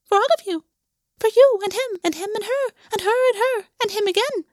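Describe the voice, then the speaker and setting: A shrill taunting paper bird. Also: female voice, fairly quick, darkish tone, dry recording. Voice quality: papery, chirping. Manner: taunting, riddling. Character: mocking paper origami bird, chirping and shrill.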